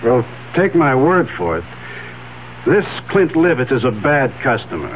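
A blunt, skeptical prosecutor with a mid-pitched voice. He probes and needles with sardonic firmness in seventies radio-play style. The sound is narrow and noisy, like an old broadcast. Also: big pitch swings, a little slow. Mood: stern warning. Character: blunt, suspicious district attorney, a skeptical old friend. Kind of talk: 1970s radio drama acting.

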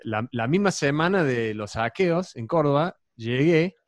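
A man from Texas, on as the guest in a relaxed chat. He speaks haltingly, stopping and restarting as he hunts for each word. His voice is recorded close and dry, with no room sound. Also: on the high side, dry recording. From Texas, United States. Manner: halting, searching for words. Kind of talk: casual podcast chat.

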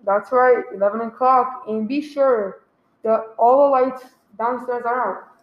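Curt criminal go-between, played by a woman: high-ish, businesslike, radio drama, dull echoey sound. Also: unhurried, natural voice. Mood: cold, instructing. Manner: curt, instructing. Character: cold, businesslike male criminal boss giving orders. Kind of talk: radio play acting. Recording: dull, echoey room recording.

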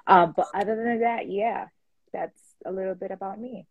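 Young female therapist guest, breathy and relaxed, dry close sound. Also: medium pitch, moderate pace, no room sound, phone-quality sound. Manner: relaxed, many fillers. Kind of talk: casual conversation. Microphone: call.

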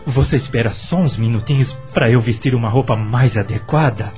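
An actor playing a gentle, lovestruck middle-aged widower in a radio soap, speaking earnestly and courteously. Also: male voice, medium pitch, fairly quick, soft background music, close to an everyday voice. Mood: astonished, elated. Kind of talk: Brazilian radio soap acting.

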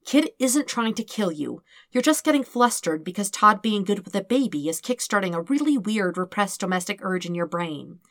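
A woman reading fan fiction aloud, voicing a calm, sympathetic professional woman who is patient and matter-of-fact. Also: medium pitch, no echo, clean studio sound, close to an everyday voice. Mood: exasperated, firm. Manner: measured, careful, matter-of-fact.

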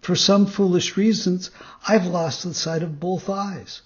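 A sly, callous cat from a storybook, as a man acts it out. The pitch is raised and the sound a bit dull. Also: steady tone, measured pace, slightly rough. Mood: self-pitying. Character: sham-blind male cat, callous, sly. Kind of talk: storybook character reading.

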